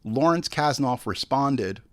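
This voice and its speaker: Male podcast host, mid-pitched and highly animated. Opinionated, exclamatory, happy to swear and act out imagined dialogue. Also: a little slow. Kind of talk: animated, opinionated casual commentary on film.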